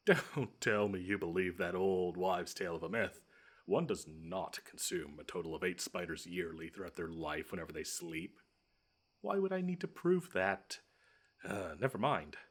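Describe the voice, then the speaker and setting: Husky, low-voiced grumpy monster, acted by a man. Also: lively intonation. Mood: exasperated. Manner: pompous, wordy, drawn-out menace with dry sarcasm. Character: grumpy, pompous male monster lurking under a child's bed. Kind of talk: scripted audio roleplay monologue.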